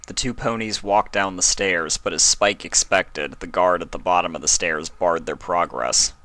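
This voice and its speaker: A man's voice: sits low, normal speed, bright, forceful tone. A man reading a story aloud as its narrator, in a scripted storytelling style. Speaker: narrator reading fan fiction.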